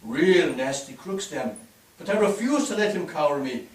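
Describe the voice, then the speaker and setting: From far off in an echoey theatre, a man plays an immigrant telling stories of his youth. His high voice moves in lively swings, with folksy little asides. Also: moderate pace, close to an everyday voice. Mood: amused, indignant. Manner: animated storytelling, folksy asides. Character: chuckling Irish immigrant man recounting his boyhood. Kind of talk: staged play reading, reminiscence. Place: theatre stage. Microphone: distant microphone. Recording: distant, echoey stage pickup.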